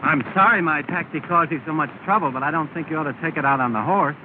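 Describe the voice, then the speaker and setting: On a thin, crackling vintage film soundtrack, a man acts a courteous young gentleman. His voice is on the higher side, and he talks in a mild, measured, quietly firm way typical of 1930s pictures. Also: narrow, tinny audio, close to an everyday voice. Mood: calm, firm.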